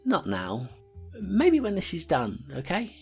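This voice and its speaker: A woman's voice: on the low side, wildly swooping pitch, a little slow, breathy edge, soft background music, full character voice. A woman playing a determined constable who talks bluntly and reasons things out aloud.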